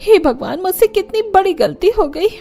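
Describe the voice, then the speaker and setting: Woman doing cartoon daughter-in-law, jealous and guilt-ridden, very high pitch, defensive pleading over music. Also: expressive, normal speed, dark tone. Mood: horrified, guilty. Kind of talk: Hindi cartoon family drama.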